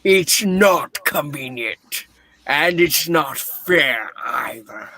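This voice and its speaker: A man's voice: a little high, lively intonation, drawn-out words, pushed and bright, exaggerated voice. A man playing a grumpy, miserly old businessman, curt and scornful, in a theatrical radio play reading. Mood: grudging, sour.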